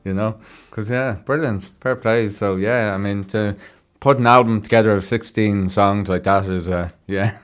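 Low-voiced Irish male radio host. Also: fairly even intonation, measured pace, hardly any pauses. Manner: encouraging, chatty, affirming. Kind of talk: casual radio interviewing and show presenting. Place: radio studio. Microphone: studio broadcast mic.

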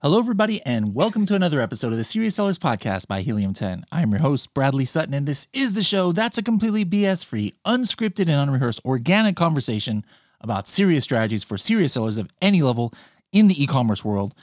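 A man's voice: fairly high pitch, big pitch swings, quick pace, dry recording, studio quality. A man hosting a podcast, chatting in an upbeat, enthusiastic way and laughing easily. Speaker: podcast host. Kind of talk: casual interview chat.

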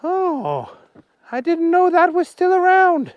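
A man voicing a small, officious male policeman dressed in yellow, in a high, stern voice. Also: huge pitch swings, slow, dry recording, highs cut off, heavily acted. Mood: surprised.

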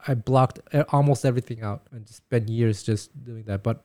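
A man from Canada, a native Taiwanese speaker, talking casually as an interview guest and softly swallowing some syllables. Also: mid-range voice. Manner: fast, soft-ending syllables that get swallowed. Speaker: guest, musician and teacher.

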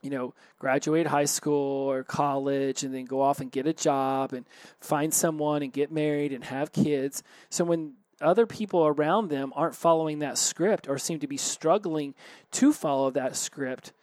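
A man's voice: on the high side, normal speed, a little mellow, dry recording. A man who works as a life coach, giving an earnest, reassuring self-help talk that explains his points step by step.

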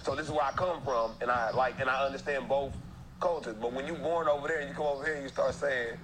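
A man's voice: mid-range voice, fairly even intonation, fast talker. A man from the United States, a rapper, talking in a casual interview and mimicking other people's voices. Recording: crowd noise behind.

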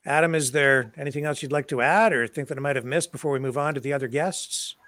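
A man's voice: mid-range voice, fairly even intonation, fast talker, a bit bright, no room sound. A man hosting an online interview, asking questions hesitantly with many "uh, you know" fillers. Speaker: host. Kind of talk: casual interview.

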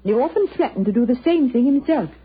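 An actress playing a genteel, coy aristocratic lady in a vintage radio drama, with a flattering manner. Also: high-pitched, quick pace. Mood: matter-of-fact. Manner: genteel, coquettish. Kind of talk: 1940s radio drama acting. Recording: tinny, narrow old radio recording.

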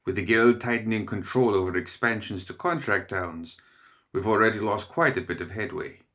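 A man's voice: on the low side, normal speed, bright, forceful tone. A man voicing a nervous, formal lawyer who speaks deferentially.

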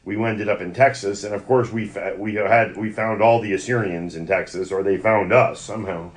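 A man's voice: lowish voice, fairly even intonation, moderate pace. A middle-aged American man telling personal stories as an interview guest, in a rambling, conversational, anecdotal way. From United States (Yonkers, New York). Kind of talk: conversational oral-history storytelling.

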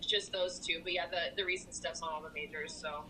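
A woman's voice: low-pitched, little pitch movement, brisk, pushed and bright, rough recording. A woman in her thirties, a rapper guest in a casual interview, laid-back, swearing freely and laughing easily. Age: 30s.

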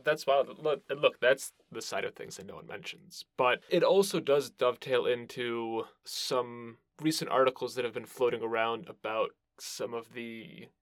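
A man with a mid-pitched voice hosts a podcast interview in a casual register. He phrases things thoughtfully and with measure, in a very dry recording. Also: moderate pace, hardly any pauses, no echo.

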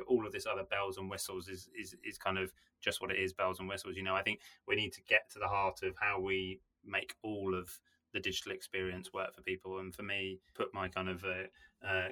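A man's voice: low-pitched, bright, forceful tone, poor audio. A man speaking as a guest in a podcast discussion, candid and skeptical, often using fillers such as "you know" and "kind of".

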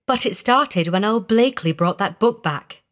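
A woman playing a quiet, uneasy young girl in an audio story, speaking in a hushed, matter-of-fact way. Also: medium pitch, animated, normal speed, close to an everyday voice. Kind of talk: audio story character acting.

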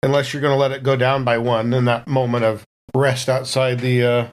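A man's voice: medium pitch, flat delivery, a little fast. A man chatting casually as a player in a tabletop game, with a wry, jokey manner.

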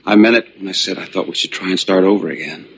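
An earnest man begs a former love to stay, a 1980s TV drama. His voice sits very low, with a heavy gravelly crackle and a bright, forceful edge. A faint ambient hiss runs under it. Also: quick pace. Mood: pleading. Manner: earnest, pleading.

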